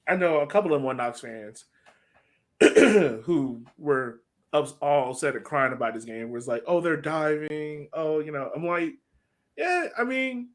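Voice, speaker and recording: An American man co-hosting a sports podcast, talking casually and conversationally with informal, slangy phrasing. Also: on the high side. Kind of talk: casual soccer match breakdown chat.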